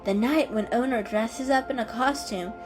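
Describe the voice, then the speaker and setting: A woman's voice: fairly high pitch, normal speed, over music, natural voice. A woman voicing a kindly female calico cat in a storybook reading, explaining things patiently and knowingly. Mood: kindly, cheerful.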